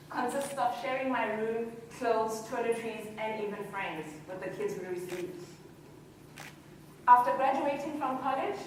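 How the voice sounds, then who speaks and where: Earnest woman speaker, heavily echoing hall. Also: fairly high pitch. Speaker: conference speaker, community development worker. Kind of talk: prepared personal-story speech. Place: large echoey hall. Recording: very echoey hall, distant mic, faint noise.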